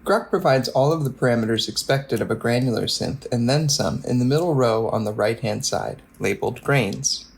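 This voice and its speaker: A man's voice: mid-range voice, normal speed, gravelly, few pauses. A man narrating a scripted technical tutorial in a methodical, explanatory way, as a product demonstrator.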